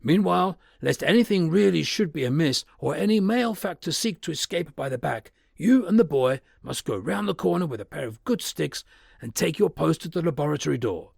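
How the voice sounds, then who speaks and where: Man doing a stern lawyer barking orders, raised loud gravelly voice, dry sound. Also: a little high, lively intonation, a little fast, dry recording, voice pushed up, full character voice. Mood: resolute. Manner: commanding, formal, forceful. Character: stern middle-aged male lawyer, resolute.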